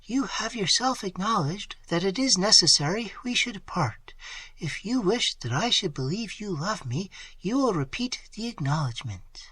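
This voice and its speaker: A man reading an audiobook voices a gentle young woman who speaks softly and tremblingly through her grief. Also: high-pitched, very animated, unhurried, dry recording, pitched above the performer's own voice, exaggerated voice. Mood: soothing. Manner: soft, hushed, trembling.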